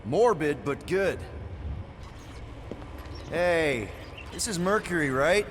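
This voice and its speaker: An actor playing an easygoing, wisecracking young male space adventurer in a video game, with an American accent and a casual, halting delivery. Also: a little high, lively intonation, in a large hall, a little hiss or hum. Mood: joking, casual. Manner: casual, hesitant, joking. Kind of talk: naturalistic video game voice acting.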